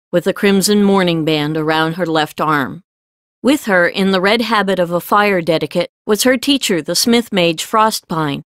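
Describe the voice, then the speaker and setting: A woman reading scripted fiction as an audiobook narrator, with measured storytelling delivery. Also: mid-range voice, a bit bright, no room sound, clean studio sound.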